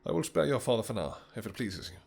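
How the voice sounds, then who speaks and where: A man playing a possessive, lovestruck vampire king in a romantic fantasy audio roleplay, speaking in formal courtly phrases with halting pauses. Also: mid-range voice, sing-song, creaky, slightly rough, faint music behind, full character voice. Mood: commanding, devoted.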